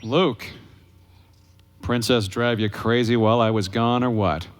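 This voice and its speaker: An actor playing a cocky, sardonic young smuggler pilot in a live audio drama, brash and wisecracking. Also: male voice, medium pitch, fairly even intonation, unhurried, lightly acted. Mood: wry, teasing. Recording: live stage recording with background noise. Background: sound effects.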